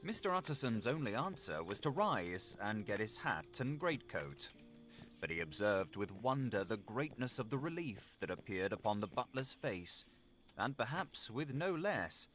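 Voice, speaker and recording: A man's voice: lowish voice, very animated, a little slow, soft background music. A man reading literary fiction aloud as a dramatic audiobook narrator. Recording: loud vehicle and machinery noise throughout. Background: game vehicle engine noise.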